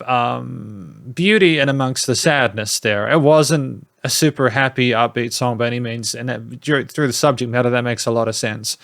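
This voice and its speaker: A man's voice: medium pitch, fairly bright tone, no room sound, clean studio sound. A man giving a casual spoken music review, rambling and hesitant, often restarting his sentences. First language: not French.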